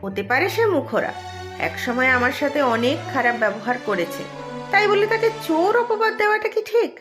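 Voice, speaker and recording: A woman playing a forgiving, principled mother in a cartoon, gently reproving and conciliatory. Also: high voice, animated, brisk, music in the background. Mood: reproving, sad. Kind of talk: cartoon voice acting.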